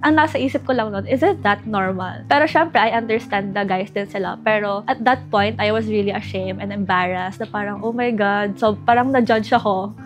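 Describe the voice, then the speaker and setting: A woman's voice: mid-range voice, fairly quick, few pauses. A woman in her early twenties with a Filipino accent, casually telling personal stories as an interview guest and switching between languages. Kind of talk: casual personal storytelling, mixing two languages. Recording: music behind the voice. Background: background music.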